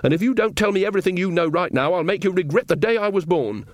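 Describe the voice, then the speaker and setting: A man voicing an exasperated young woman, a bossy, sharp-tongued bride in a storybook, with a snappish, sarcastic, commanding manner. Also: sits high, expressive, quick pace, no room sound, clean studio sound, voice pushed up. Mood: sarcastic, threatening.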